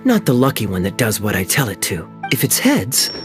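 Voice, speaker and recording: An actor playing a sly, charming young knight and playful schemer in a video game cutscene, speaking in a smooth, teasing, knowing way. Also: male voice, fairly low pitch, wildly swooping pitch, fairly quick. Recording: faint game music behind.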